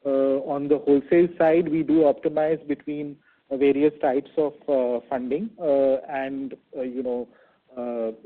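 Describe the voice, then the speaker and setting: A man with an Indian accent answering questions as a bank executive on an earnings call, speaking in a halting, measured, hedging way over a phone conference line. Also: medium pitch, phone-quality sound. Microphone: telephone conference call.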